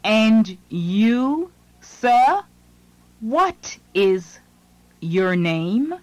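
A woman reads English lines for a language course, very slowly and carefully. Each phrase is set apart for learners to follow. The recording is dry and close, with no room sound. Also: on the high side, big pitch swings, a little mellow, no echo. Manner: slow, deliberate, phrase by phrase. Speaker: language lesson narrator. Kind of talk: scripted lesson reading.

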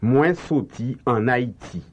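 Slow, lively-pitched male language drill voice. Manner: slow, carefully enunciated translations. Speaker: language teacher.

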